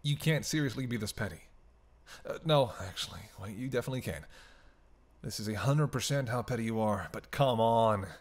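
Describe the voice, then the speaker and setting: A man's voice: medium pitch, brisk, no echo, natural voice. A man playing a weary, flirtatious vampire with teasing, sarcastic banter in an intimate roleplay audio drama. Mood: weary, sardonic. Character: sardonic, flirtatious male vampire, weary and hungry. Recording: noisy, ambient sound effects behind voice.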